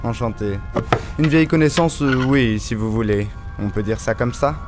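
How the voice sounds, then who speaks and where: A man's voice: medium pitch, moderate pace, natural voice. An actor playing a cryptic, erudite male recluse in an audio drama, theatrical and condescending, over prominent music. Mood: guarded. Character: cryptic, erudite male deep-sea recluse, scornful of surface dwellers. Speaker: reclusive expert character. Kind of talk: French audio fiction acting.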